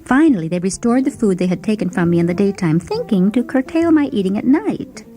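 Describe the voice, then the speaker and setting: A woman narrating a first-person short story in a dramatic, expressive, theatrical manner. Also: medium pitch, a little fast. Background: music.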